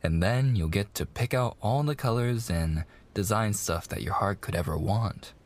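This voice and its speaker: An actor playing a mafia boss's young son, an eager, sweet fiancé coaxing in slangy gangster talk in an intimate roleplay. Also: male voice, fairly low pitch, moderate pace. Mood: casual, friendly. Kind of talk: boyfriend ASMR roleplay, intimate monologue.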